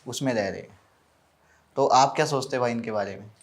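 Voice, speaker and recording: A man's voice: mid-range voice, a little fast. A man speaking as a podcast co-host in casual conversation, commenting and asking questions.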